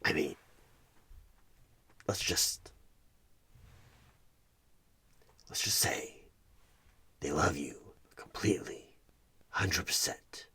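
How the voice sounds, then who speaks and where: A man playing a sinister, coaxing evil recruiter who bargains for souls in a creepy ASMR horror roleplay, husky and half-whispered, with deliberate dramatic pauses. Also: deep voice, sharp tone, full character voice. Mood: taunting, probing. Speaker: audio roleplay performer.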